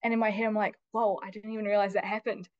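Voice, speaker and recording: A woman who is a teacher, a guest on a podcast, speaking casually and thoughtfully with many pauses and fillers. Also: medium pitch, flat delivery, no room sound, dull audio. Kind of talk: casual interview chat.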